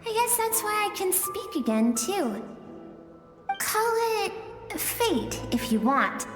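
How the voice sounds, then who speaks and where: A woman voicing a calm, mysterious young female spirit who dwells in another's inner world, speaking gently. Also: very high-pitched, slow pace, heavily acted. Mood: gentle. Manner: soft, gentle, reflective. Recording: echoey dreamlike reverb under music.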